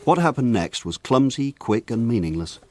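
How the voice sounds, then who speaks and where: An actor playing a grown man who narrates his rough village boyhood in a measured, wry radio drama style. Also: medium pitch, sing-song, mellow, no echo, lightly acted. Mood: wry, reflective. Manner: measured, wry, lyrical phrasing. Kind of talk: scripted radio drama narration, literary storytelling.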